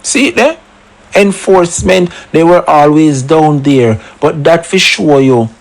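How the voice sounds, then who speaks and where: A man's voice: a little high, measured pace. A man giving opinionated solo commentary, full of rhetorical questions and emphatic asides. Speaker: commentary channel host.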